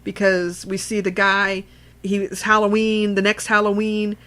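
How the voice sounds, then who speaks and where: A woman's voice: mid-range voice, completely flat delivery, measured pace, a bit bright. A woman co-hosting a casual horror film discussion, speaking emphatically, repeating herself for emphasis and swearing freely. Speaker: podcast co-host.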